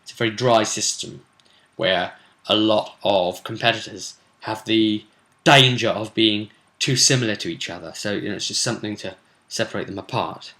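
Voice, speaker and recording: A man's voice: on the low side, moderate pace, sharp tone. A man talking informally as a game master, explaining rules and recapping results with enthusiasm and chatty asides. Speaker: game master recapping a tabletop role-playing session.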